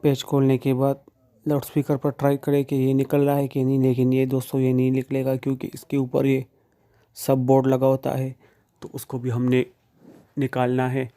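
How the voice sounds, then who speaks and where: Man, tutorial narration, very flat intonation, soft-edged mellow tone, informal, step-by-step, addressing viewers. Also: mid-range voice, moderate pace. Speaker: phone repair tutorial presenter. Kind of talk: instructional step-by-step how-to narration.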